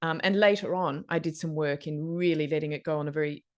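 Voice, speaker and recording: A woman's voice: low-pitched, fairly even intonation, quick pace. A woman hosting a podcast interview, speaking conversationally and asking thoughtful, probing questions. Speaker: podcast host.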